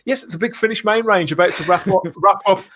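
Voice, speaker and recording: A man's voice: sits high, fairly quick, fairly bright tone. A man hosting a casual live podcast, chatting through news and comments with frequent rhetorical tag questions. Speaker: podcast host.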